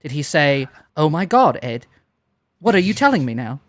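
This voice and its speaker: A man's voice: a little high, big pitch swings, dry recording. A man with an American Southern accent hosts a live game stream. He teases and jokes with the players with plenty of energy. The mic is close and dry. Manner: teasing, enthusiastic, quick to joke. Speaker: stream host. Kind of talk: casual chat on a live stream.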